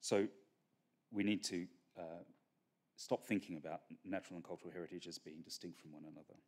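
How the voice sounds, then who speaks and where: A middle-aged man giving a keynote lecture from a podium on a conference stage, measured and full of fillers and restarts. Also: low voice, fairly even intonation, darkish tone. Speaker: keynote speaker. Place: large conference hall stage. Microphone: podium microphone.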